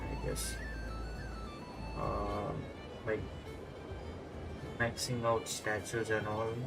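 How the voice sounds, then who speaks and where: A man livestreaming a game, chatting casually to viewers in halting, short phrases. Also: on the low side, poor audio. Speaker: game streamer. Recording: game music clearly behind voice.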